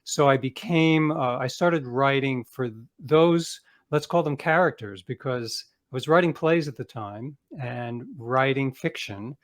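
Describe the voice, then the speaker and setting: A man's voice: medium pitch, a little slow, hardly any pauses. A middle-aged man speaking as an interview guest, telling anecdotes conversationally with self-deprecating asides. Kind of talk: casual anecdotal storytelling.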